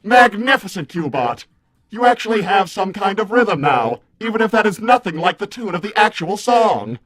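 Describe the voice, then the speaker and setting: A man's voice: high-pitched, sing-song, normal speed, fairly bright tone, faint music behind, heavily acted. A man voicing a prim, wordy, vain robot assistant in a fussy, formal, mildly sardonic livestream roleplay. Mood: dry approval. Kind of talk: improvised in-character livestream roleplay.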